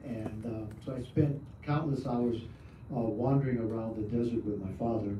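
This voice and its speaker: Middle-aged male storyteller, halting delivery full of fillers, heard from afar over a muffled, noisy outdoor feed. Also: mid-range voice, fairly even intonation. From Arizona, USA. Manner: hesitant, many "uh" fillers, reflective. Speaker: storyteller and mask artist. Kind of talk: live outdoor talk to a small audience. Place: outdoors at a museum park at night. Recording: echoey, distant, dull mic with noise.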